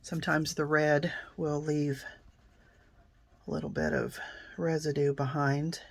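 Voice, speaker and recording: A middle-aged woman, hoarse and raspy after a coughing fit, calmly explaining a card-making project step by step and often clearing her throat. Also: a little slow. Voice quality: deep and raspy. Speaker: card-making tutorial host. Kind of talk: casual crafting tutorial narration.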